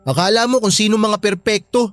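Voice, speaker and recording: High-voiced, hurt young boy. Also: a little fast, fairly bright tone. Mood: indignant. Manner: pleading, respectful, boyish. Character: young adopted son, hurt, respectful, protective big brother. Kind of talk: emotional radio drama acting. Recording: music bed behind the voice.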